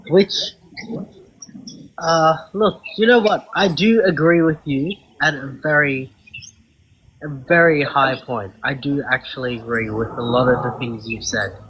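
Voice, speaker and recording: A man from Africa, a guest in a live-stream debate, speaks in a measured, hesitant way, often restarting and giving ground. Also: mid-range voice, fairly even intonation, fairly bright tone, slight background noise, poor audio.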